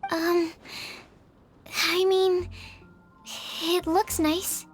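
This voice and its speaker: Shy scholarly alchemist for a fantasy game; very high pitch, earnest, careful, music behind. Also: female voice, little pitch movement, drawn-out words, full character voice. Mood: hesitant. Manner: hesitant, polite, earnest. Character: shy, earnest, bookish young woman alchemist. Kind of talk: anime fantasy game voice acting.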